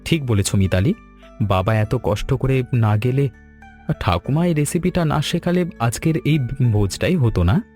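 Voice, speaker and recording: A man's voice: medium pitch, animated, a little slow, a little mellow, faint music behind, lightly acted. A man voicing a cheerful, appreciative family man in a cartoon story. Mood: contented, reflective. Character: cheerful, appreciative family man visiting his village home. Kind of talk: cartoon story dialogue in Bengali.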